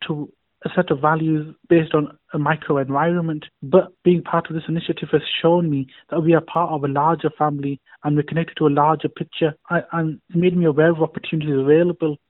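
A man speaks sincerely and deliberately. His voice sits a little high. The audio is dry and narrow, like a phone line. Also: no echo. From Yorkshire, England. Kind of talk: recorded testimonial.

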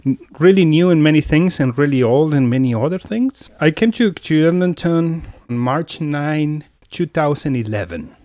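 A man from Argentina with an Argentine Spanish accent, a composer talking in an interview with a relaxed manner, often repeating words and laughing easily. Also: on the high side, normal speed, few pauses, dry recording, studio quality. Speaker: composer and sound artist guest. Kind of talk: interview conversation.

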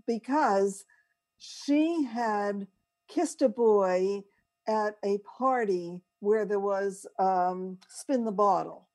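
An older American woman telling family anecdotes casually, in a measured, conversational way. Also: medium pitch, a little mellow. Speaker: co-host.

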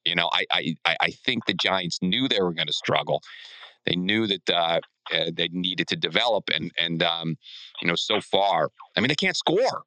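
A man's voice: low-pitched, lively intonation, moderate pace, bright, forceful tone, no room sound, rough recording. A man co-hosting a sports podcast in casual discussion, often restarting his sentences midway.

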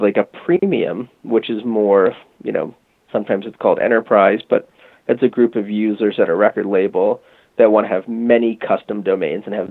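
A man with a low, gravelly voice speaks over a tinny, phone-like line. He talks casually in an interview, explaining at length and often restarting with fillers. The sound is dry. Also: no room sound. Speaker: guest from a music marketing company.

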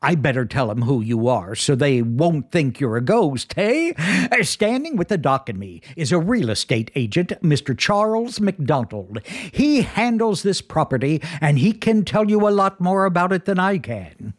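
An actor playing a brash, joking skeptic of a disc jockey in an old radio drama. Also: male voice, a little high, big pitch swings, normal speed. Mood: breezy. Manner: flippant, joking, chatty. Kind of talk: 1940s radio acting, live broadcast patter. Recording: 1940s radio drama recording with music.

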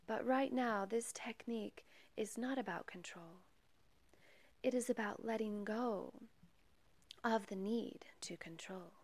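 A woman leading a guided meditation in a soft, breathy, partly whispery voice, calm and gentle, with long pauses between measured phrases. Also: mid-range voice. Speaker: meditation guide and podcast host.

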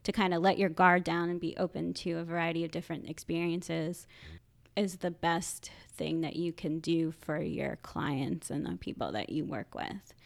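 A woman who is a social worker, talking casually in a podcast interview, halting often and laughing. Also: steady tone, vocal fry. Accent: Philadelphia. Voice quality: high-pitched by her own account. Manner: halting, frequent restarts and laughs.

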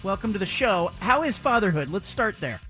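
A man hosting a sports talk radio show, chatty and energetic, asking long rambling questions. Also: high-pitched, fairly quick, soft background music.

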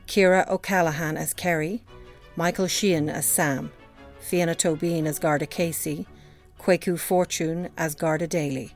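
A woman's voice: sits low, steady tone, normal speed, faint music behind. A woman with an Irish accent reading a scripted announcement of drama credits.

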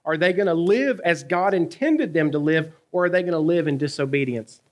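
A man, preaching a sermon in a conversational, animated way, asking his listeners questions and telling stories from his own life. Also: on the high side, fairly quick. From American South. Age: 30s. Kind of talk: sermon with personal storytelling.

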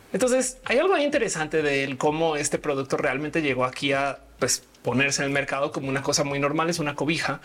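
Colombian-born woman hosting her channel, kinda husky breathy voice, chatty and talking right at the viewers. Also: sits low, fairly bright tone. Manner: chatty, addresses viewers directly. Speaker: channel host. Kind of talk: informal explainer video.

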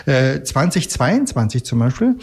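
A man giving informal, explanatory answers as an interview guest, often halting and rephrasing. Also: mid-range voice, big pitch swings, husky. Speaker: radio museum representative, interview guest.

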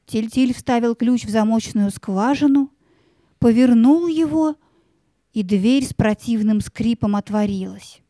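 A woman narrator tells a scripted fairy tale. Her voice is soft-toned and a little high, in a dry, close recording. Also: unhurried, no echo.

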